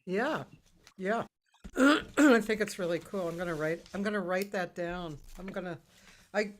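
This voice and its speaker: A woman's voice: lowish voice, a little slow. A woman hosting a casual interview chat, speaking in hesitant phrases that often trail off. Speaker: interview host, musician.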